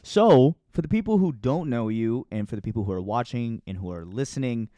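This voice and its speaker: A man's voice: medium pitch, expressive, brisk, dark tone, dry recording. A man hosting a casual podcast interview in a playful, teasing, informal way. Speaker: podcast host.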